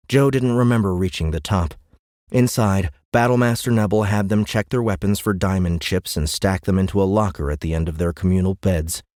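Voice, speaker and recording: A man's voice: deep voice, darkish tone, no room sound, studio quality. A man reading a science fiction audiobook in scripted dramatic narration.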